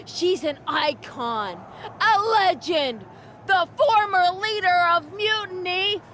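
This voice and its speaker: A woman's voice: very high voice, expressive, takes it slowly, background noise, full character voice. A woman playing a smug, theatrical wrestler hosting a mock talk show in a video game, with exaggerated glee. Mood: gleeful, smug. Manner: theatrical, self-congratulating.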